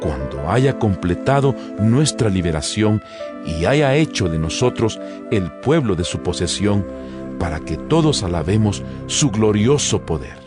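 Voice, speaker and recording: A man's voice: lowish voice, big pitch swings, measured pace. A man reading scripture as a dramatized audiobook narration, in a solemn, declamatory manner. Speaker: Bible narrator. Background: background music.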